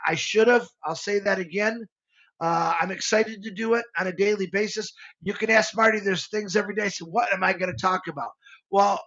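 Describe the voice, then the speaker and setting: A man's voice: high-pitched, gravelly, fairly bright tone, lo-fi sound. A man in his fifties, co-hosting a live stream, talking in a heartfelt, rambling way with lots of restarts. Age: 50s. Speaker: co-host of a live show. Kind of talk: casual live-stream talk.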